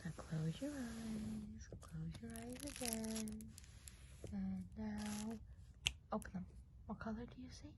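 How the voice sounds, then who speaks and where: A woman playing a kindly witch of the woods in a soft-spoken, whispered ASMR role play, chatty and unhurried. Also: fairly high pitch, background noise. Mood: coaxing, soothing. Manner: hushed, unhurried, chatty, often trailing off.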